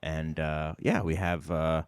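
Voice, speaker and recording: A man's voice: extremely low pitch, fairly even intonation, slow, clear, resonant tone. A middle-aged man co-hosting a podcast, chatting casually and rambling with ums and restarts. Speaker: podcast co-host. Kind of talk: casual chat. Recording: reverb on the voice.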